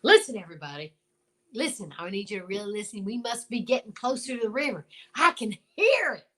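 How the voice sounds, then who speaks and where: Woman playing a folksy old marshal, bright and animated. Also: a little high, fairly quick. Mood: urgent. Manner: folksy, drawling exclamations, hemming. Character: folksy older male small-town marshal, dutiful, flustered. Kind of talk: old-time radio drama acting.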